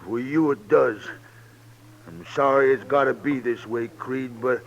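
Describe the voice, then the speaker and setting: Belligerent tipsy Western farmhand, very slow needling drawl, dull vintage radio recording. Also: male voice, medium pitch, creaky. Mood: smug threat. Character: tipsy, belligerent frontier farmer itching for a fight. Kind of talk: old-time radio western acting.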